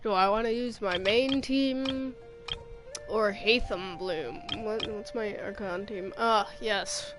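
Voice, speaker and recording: A woman's voice: mid-range voice, takes it slowly. A woman doing casual gameplay commentary, rambling and thinking aloud in a playful, exclaiming way. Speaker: game streamer. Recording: game music behind the voice. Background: video game music.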